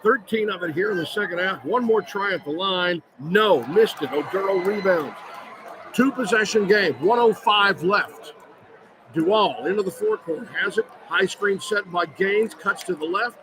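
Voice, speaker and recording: A man's voice: high-pitched, normal speed. A man calling live basketball on the radio in clipped, urgent phrases as play-by-play announcer. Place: courtside in a large indoor arena. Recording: loud crowd noise behind live radio broadcast. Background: loud arena crowd.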